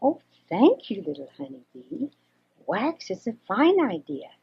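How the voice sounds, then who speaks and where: A woman's voice: mid-range voice, huge pitch swings, unhurried, husky, exaggerated voice. An older woman voicing young brothers in a children's storybook, with a childlike, exclaiming manner. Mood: grateful. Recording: dull homemade 1980s cassette recording.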